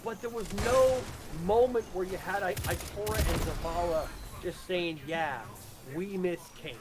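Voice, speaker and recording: A man talking casually about a game story, haltingly, repeating words as he thinks aloud. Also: high-pitched, very noisy. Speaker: host presenting his audio drama.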